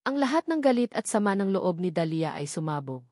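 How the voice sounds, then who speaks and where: A woman's voice: lowish voice, sing-song, brisk, a little mellow, no echo, studio quality. A woman reading a dramatic scripted story as its narrator.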